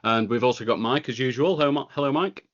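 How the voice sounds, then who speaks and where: A man hosts a podcast in a casual, friendly way, his voice sitting at a medium pitch. He trips over a word now and then, and the audio has a slightly dull, lo-fi quality. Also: fast talker, fairly bright tone. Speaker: podcast host.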